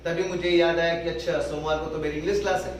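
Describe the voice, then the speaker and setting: Echoey Hindi-accented English teacher. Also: male voice, a little high, little pitch movement, noisy background. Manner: explains slowly, repeats phrases word by word. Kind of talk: classroom grammar lesson. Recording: echoey room, voice from far off.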